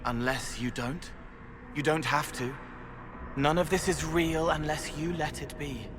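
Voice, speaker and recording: An actor playing a gentle, odd young male spirit in a video game, soft-spoken, talking in disjointed, cryptic phrases. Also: a little high, fairly even intonation, measured pace, background noise, lightly acted. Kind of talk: video game character acting.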